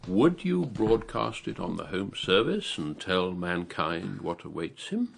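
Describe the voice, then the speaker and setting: An actor playing a tired, pessimistic elderly English writer in a radio drama, husky and measured, with a wry, courteous, literary manner. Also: male voice, low-pitched, big pitch swings, exaggerated voice. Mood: wry, teasing. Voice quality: husky, gravelly. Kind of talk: radio drama dialogue and reflective monologue.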